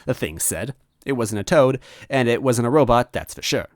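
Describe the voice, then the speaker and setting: A man reading a story aloud as narrator in an animated style, close on the microphone. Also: mid-range voice, moderate pace, dry recording. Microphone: close microphone, dry.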